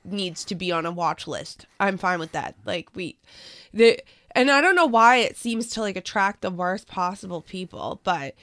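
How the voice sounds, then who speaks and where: A woman co-hosting an opinionated talk show, sniffly, talking fast and loose with lots of "like"s. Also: on the low side, lively intonation, fairly bright tone, no echo. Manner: quick reactions, talky with many "like"s.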